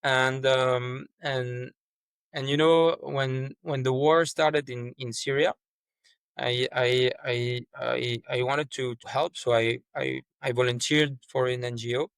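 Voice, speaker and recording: A man's voice: mid-range voice, fairly even intonation, slow pace, gravelly, clean tone, no room sound, poor audio. Brief: man, earnest guest, stumbles and restarts, dry close sound. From France. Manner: earnest, repeats words and restarts often. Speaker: startup founder, guest. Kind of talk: conversational interview.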